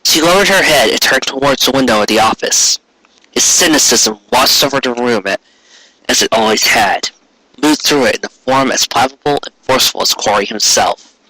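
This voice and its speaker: A man's voice: medium pitch, moderate pace, pushed and bright, no room sound, rough recording. A man reading a scripted story aloud as an audiobook narrator. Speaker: audiobook reader.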